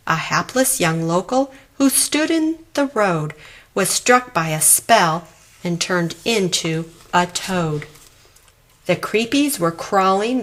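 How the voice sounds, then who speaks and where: A woman reading a rhyming children's story aloud with dramatic, expressive delivery. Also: on the low side. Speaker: storybook reader.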